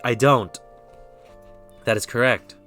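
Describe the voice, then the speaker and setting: A man with an American accent giving a relaxed, rambling tarot reading on a live stream, often stopping to correct himself. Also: medium pitch, slow, soft background music. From Philippines.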